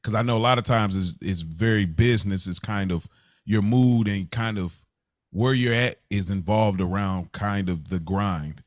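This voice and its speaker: American man, podcast host. Lowish register, dry sound, sincere casual delivery that repeats and rephrases as it goes. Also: moderate pace, no echo. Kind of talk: casual chat.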